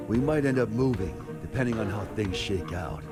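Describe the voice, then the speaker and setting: A man playing a gruff, blunt, world-weary fighter in a video game, terse and dry. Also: on the low side, animated, moderate pace, over loud music, close to an everyday voice. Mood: grim, dry. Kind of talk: English game voice acting.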